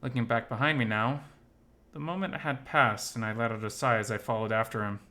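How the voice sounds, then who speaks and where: Bright-voiced man narrating a story. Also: medium pitch, steady tone, fairly quick. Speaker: visual novel reader. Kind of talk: expressive first-person story narration. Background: faint music.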